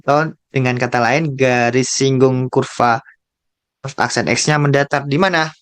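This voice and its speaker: Slow, methodical Indonesian math tutor man. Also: medium pitch, steady tone, smooth. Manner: slow, methodical, repeats key terms, thinks aloud with fillers. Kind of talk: tutorial walkthrough of a calculus problem.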